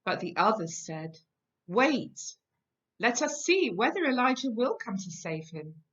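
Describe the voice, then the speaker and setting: A middle-aged woman reads scripture solemnly, in measured and deliberate phrases. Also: on the high side, sing-song, a bit bright. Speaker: lay scripture reader. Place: home kitchen, indoors. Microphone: webcam at about arm's length. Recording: dull, lo-fi webcam sound.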